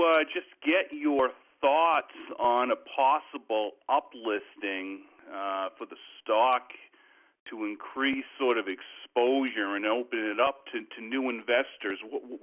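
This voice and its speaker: A man from New England calling in as a private investor, asking questions haltingly on an earnings call. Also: mid-range voice. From New England, United States. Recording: tinny muffled phone line.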